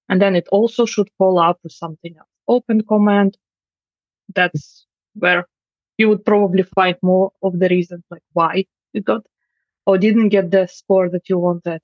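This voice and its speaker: A woman guest lays out her points in a measured, explanatory way, slipping in dry humor. Her mid-pitched voice comes through a dull, muffled remote connection with no room sound at all. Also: a little mellow, no echo. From Finland. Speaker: guest customer experience expert. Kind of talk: interview answers.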